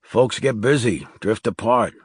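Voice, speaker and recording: A man's voice: on the low side, expressive, measured pace, slightly rough, dry recording, heavily acted. A man voicing a troubled, loyal middle-aged ex-soldier who now owns a bar, in an audiobook thriller. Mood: defensive.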